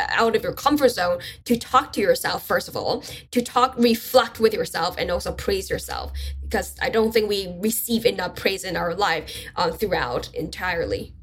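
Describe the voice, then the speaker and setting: A woman's voice: medium pitch, slight background noise. A woman whose first language is Mandarin, a guest talking casually in an interview with many fillers and restarts. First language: Mandarin Chinese. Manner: relaxed, chatty, with fillers and restarts.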